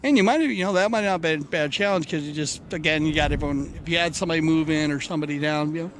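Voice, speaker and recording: A man's voice: fairly high pitch, rapid-fire. A man giving live polo match commentary in a loose, chatty style. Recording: clear background ambience noise.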